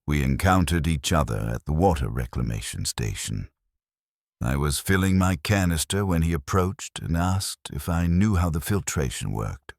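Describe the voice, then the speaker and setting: A man narrating a scripted first-person science fiction war story in a solemn, measured, clinical way. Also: a bass voice, sing-song, gravelly, dry recording, studio quality.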